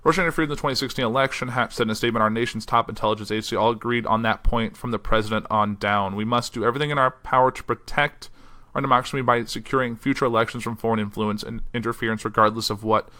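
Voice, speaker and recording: Male podcast host, quick and bright, a bit hoarse, casual news reader. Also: medium pitch. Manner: reads quotes in a flat newsy voice, then chats loosely with fillers. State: losing his voice. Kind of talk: solo news podcast, reading articles aloud. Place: house.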